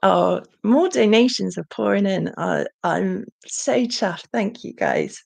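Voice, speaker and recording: A young woman hosting a casual livestream Q&A, with a croaky voice and a chatty manner full of ums. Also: mid-range voice, big pitch swings, slow pace. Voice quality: croaky, gravelly. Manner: chatty, warm thanks, frequent ums. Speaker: channel host.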